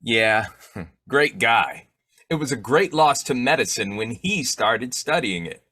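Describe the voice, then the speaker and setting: A man playing a blustery, boyish, quick-tempered bumbling husband in old-time radio comedy, with a folksy, slangy, argumentative way of talking. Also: medium pitch, lively intonation, pushed and bright, no echo, studio quality. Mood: joking.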